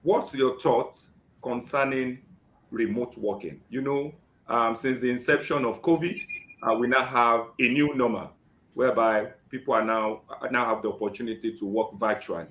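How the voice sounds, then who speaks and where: A Nigerian man hosting a live job seeker interview, often saying "great" and filling pauses with "um". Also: mid-range voice, steady tone, a bit bright, echoey room. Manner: keeps saying "great" and fills with "um".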